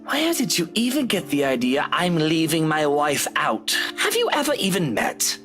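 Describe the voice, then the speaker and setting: A man's voice: on the high side, wildly swooping pitch, normal speed, fairly bright tone, faint music behind. An actor playing a vain, sardonic man in a visual novel, with dry sarcasm and bravado over self-loathing. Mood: confused, mocking. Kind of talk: dramatic visual novel voice acting.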